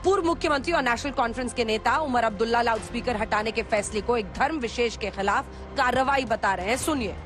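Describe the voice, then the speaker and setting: A woman's voice: a little high, fast, few pauses, noisy background. A woman reading scripted news narration in an emphatic, dramatic way as a reporter. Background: background music.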